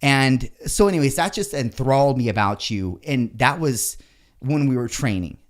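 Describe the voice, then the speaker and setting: A man's voice: medium pitch, animated, normal speed. Rambling, swearing male podcast host. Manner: rambling, swears casually, lots of fillers. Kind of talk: casual interview chat. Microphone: studio microphone.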